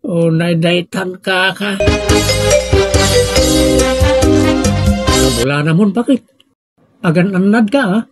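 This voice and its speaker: A man's voice: high voice, moderate pace, over loud music, lightly acted. A man playing an exasperated, chiding village husband in an audio comedy drama. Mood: concerned, chiding. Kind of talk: Ilocano radio-style comedy drama acting.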